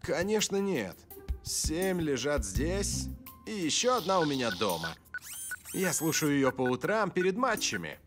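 A man voicing a cool, confident young football star in a cartoon dub, smooth and laid-back. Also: fairly high pitch, big pitch swings, unhurried. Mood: relaxed, private. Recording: music behind the voice.

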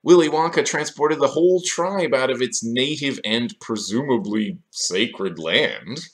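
A man's voice: medium pitch, animated, measured pace. A man in his thirties delivering scripted video essay commentary in a sardonic, questioning manner, recorded in a small room.

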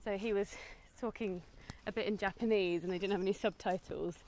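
A woman's voice: mid-range voice, steady tone, brisk, a little mellow. A woman, casually chatting through a film review while walking outside. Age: 20s. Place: outdoors, walking on a woodland path. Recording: outdoor ambient noise.

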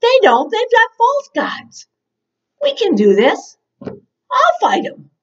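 Woman as a high, excitable, bold shepherd boy. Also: measured pace, heavily acted. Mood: bold, determined. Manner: excited, stammering, insistent. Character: bold indignant shepherd boy, eager and faithful. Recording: dull, distant-mic recording.

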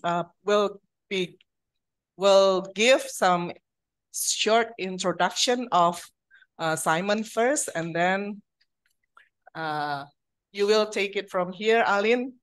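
Slow-talking woman opening a university event. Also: medium pitch. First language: Indonesian. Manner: hesitant, many fillers. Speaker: event host. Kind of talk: opening announcements. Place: university seminar room. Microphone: handheld mic.

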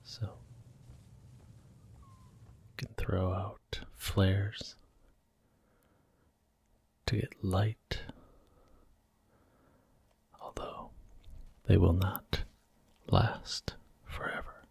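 A man giving soft-spoken, gentle ASMR commentary while he plays a video game. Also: sits low, little pitch movement, drawn-out words, vocal fry, pauses often, slightly rough, no room sound. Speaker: ASMR gaming host. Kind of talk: whispered ASMR gameplay commentary.

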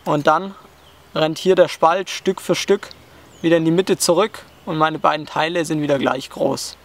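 A young man with a fairly high voice talks through a hands-on outdoor skill, calm and explanatory, pausing often with hesitant fillers. He is recorded close up while sitting on a forest floor, with faint insects in the background. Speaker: bushcraft instructor.

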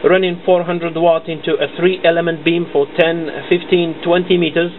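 A man's voice: fairly high pitch, little pitch movement, moderate pace. A man working as an amateur radio operator, making a procedural contact and spelling out callsigns in phonetic code. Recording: narrow-band radio room recording with static noise.

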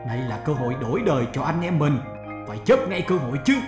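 A scheming sailor crook in a cartoon fairy tale, acted by a man. He is greedy, urgent and conspiratorial, with lively swings of pitch and music behind. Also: fast talker, echoey room, close to an everyday voice. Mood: urgent, plotting.

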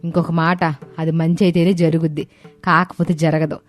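A woman's voice: low voice, flat delivery, moderate pace, natural voice. A woman voicing a caring, devout elderly mother-in-law in a storybook tale, with assured, folksy village wording. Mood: reassuring, confiding. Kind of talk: dramatised storybook dialogue.

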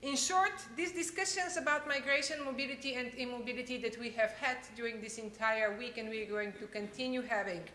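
A woman's voice: a little high, fairly quick, hardly any pauses, slight background noise. A woman, a history professor introducing a speaker with many hesitations. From Bulgaria. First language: Bulgarian. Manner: warm thanks with frequent uh fillers. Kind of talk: speech introduction. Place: large lecture hall, at a podium. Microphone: podium microphone. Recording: echoey hall.

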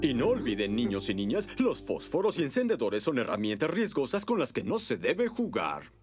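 A man's voice: mid-range voice, wildly swooping pitch, moderate pace, over music. A man playing a jovial, self-assured cartoon fire chief who instructs children in a showy way. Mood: jovial instructive. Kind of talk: animated cartoon acting, safety lecture.

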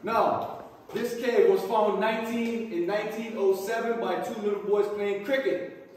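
A man's voice: high voice, fairly even intonation, a little slow, slight background noise. A man working as a tour guide, telling a story to a group in short emphatic phrases, often checking they follow. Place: inside a large cave. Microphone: distant camera microphone. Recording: echoey cave, distant camera mic.

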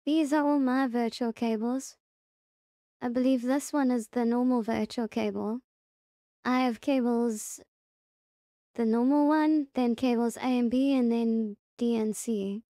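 A woman with a high, mellow voice narrates a casual tutorial. She goes through it step by step without hurry, sometimes thinking aloud. The sound is close and dry. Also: steady tone, measured pace, no room sound. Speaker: tutorial presenter.

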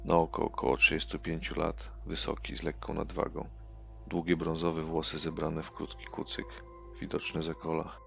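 Deep, creaky man reading quietly. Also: completely flat delivery, normal speed, lo-fi sound, soft background music. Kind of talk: scripted character introduction, hushed.

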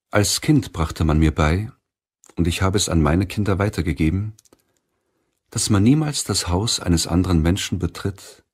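Low-voiced, husky male audiobook narrator. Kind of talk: scripted audiobook narration of a self-help book.

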